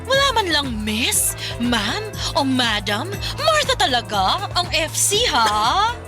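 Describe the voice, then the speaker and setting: A woman's voice: sits high, wildly swooping pitch, slow, heavily acted. A Filipino woman playing a teasing, playful best friend in a radio drama, with a giggly, exaggerated delivery. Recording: music bed under dialogue.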